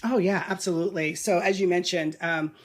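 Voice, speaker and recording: A woman's voice: on the low side, fairly even intonation, fairly quick. A middle-aged woman from Southern California, a guest talking casually in a podcast interview, with many ums and restarts and easy laughter. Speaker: guest, nonprofit executive.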